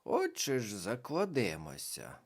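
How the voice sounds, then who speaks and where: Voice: medium pitch, huge pitch swings, measured pace, exaggerated voice. The reader voicing a small, stubborn real witch who keeps pressing her point. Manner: stubborn and eager.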